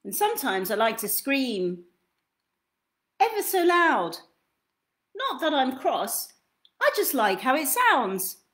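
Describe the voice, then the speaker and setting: A young woman reading picture books aloud to children in an expressive, theatrical way, drawing out key words. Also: high-pitched. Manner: theatrical, deliberate, drawn-out delivery of key words. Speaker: children's storytime reader. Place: small indoor room at home.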